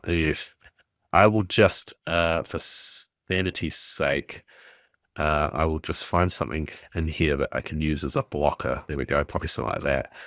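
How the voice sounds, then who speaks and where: A man's voice: very deep voice, fairly bright tone, no room sound, studio quality. A man with a stuffy cold, casually talking through a tutorial, thinking aloud with lots of ums and uhs. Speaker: game developer teaching. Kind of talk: casual screen-recorded tutorial.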